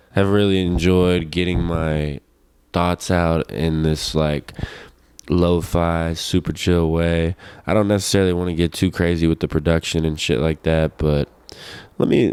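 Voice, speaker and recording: A man's voice: sits low, darkish tone, smooth, no room sound. A man in his twenties chatting casually to his audience, rambling in a laid-back, slangy way with some swearing. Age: 20s. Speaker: host of a personal vlog show. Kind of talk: casual rambling solo chat.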